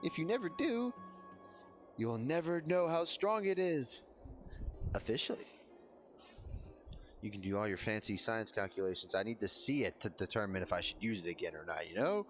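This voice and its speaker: A man's voice: lowish voice, very animated, normal speed, long pauses. A man streaming a video game, giving casual, rambling, informal and self-deprecating live commentary. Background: game music.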